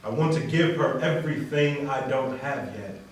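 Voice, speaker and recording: A man's voice: medium pitch, normal speed. A man performing a spoken-word love poem as a reader at a wedding. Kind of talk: dramatic spoken-word recitation, reader's theater. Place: large echoey room at a wedding. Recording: echoey hall, distant mic, faint noise.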